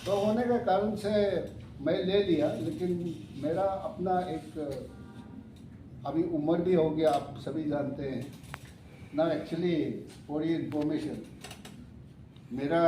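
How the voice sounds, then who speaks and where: A man in his seventies, chairing a meeting and addressing the committee in a halting way, pausing and correcting himself. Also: a little high. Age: 70s. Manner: halting and unhurried, with pauses and self-corrections. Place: hotel meeting hall. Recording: echoey hall, mic far off, faint room noise.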